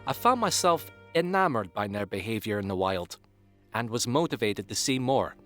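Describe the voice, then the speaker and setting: A man voicing a cheerful, excitable young pegasus pony who loves nature, with an animated and cheeky manner, in an audio drama. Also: on the low side, moderate pace, faint music behind. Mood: upbeat. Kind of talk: acted dialogue in a fan-made audio drama.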